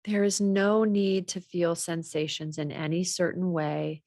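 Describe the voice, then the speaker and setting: A woman guiding a body scan meditation in a measured, gently instructional way. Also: fairly low pitch, level tone, dry recording, clean studio sound. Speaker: meditation teacher.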